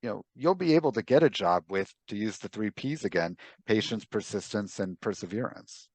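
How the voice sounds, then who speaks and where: A man hosting a podcast interview, talking conversationally in a measured way and summing up points in lists. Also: lowish voice, lively intonation, husky, no echo. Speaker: podcast host.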